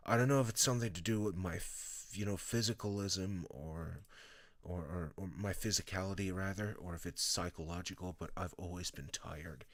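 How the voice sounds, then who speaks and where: A man chatting casually to viewers on a live stream, rambling and halting with lots of fillers and restarts, sounding tired. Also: sits low, fairly even intonation.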